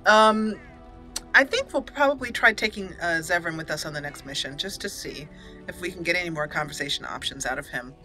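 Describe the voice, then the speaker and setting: A woman's voice: lowish voice, a little fast, sharp tone, soft background music. A woman hosting a casual, chatty roleplay let's play, sometimes reading dialogue theatrically. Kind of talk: casual game commentary with roleplay.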